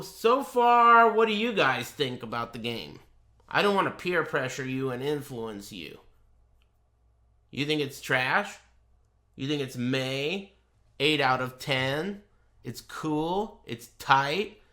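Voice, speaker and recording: A man gives casual live commentary while gaming. His voice is bright and slightly high, and he takes his time. He is offhand, sardonic and swears freely. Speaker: game streamer.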